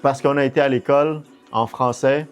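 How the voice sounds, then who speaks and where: A man's voice: medium pitch, fairly even intonation, a little fast, muffled recording, soft background music. A middle-aged man with a Québécois French accent chatting casually on a podcast, hesitant and often repeating words as he searches for the next one. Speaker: podcast co-host or guest.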